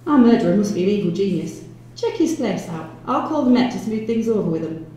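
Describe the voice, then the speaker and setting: A woman voicing a stern, gravelly male police chief superintendent in an audio story. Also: mid-range voice, lively intonation, a little fast, warm tone, natural voice. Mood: stern, brusque. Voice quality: gravelly, strained. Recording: echoey room, faint noise.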